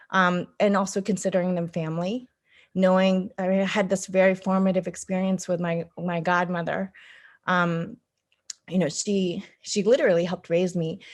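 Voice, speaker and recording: A woman from the United States answering interview questions conversationally, reflective and halting as she pieces her thoughts together in short phrases. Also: mid-range voice. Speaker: interview guest, city councilwoman.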